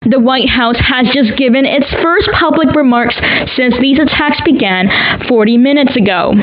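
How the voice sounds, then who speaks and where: An actress playing a composed news reporter in an audio drama, with a formal, measured delivery. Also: female voice, high-pitched. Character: serious, composed female broadcast news reporter. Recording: dull, band-limited broadcast sound.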